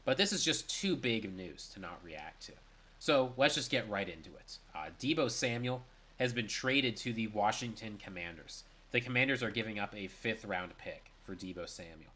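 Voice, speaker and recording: A man's voice: on the low side, expressive, sharp tone. Congested young man breaking down sports news. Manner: brisk and analytical, with emphatic stress. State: congested, stuffy. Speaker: sports analyst. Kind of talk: solo sports reaction commentary.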